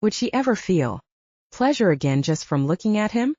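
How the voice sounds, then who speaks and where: A woman's voice: mid-range voice, big pitch swings, moderate pace, dark tone, husky, no room sound. A woman narrating fiction as a scripted, dramatic audiobook reading. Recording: slightly dull, dry close recording.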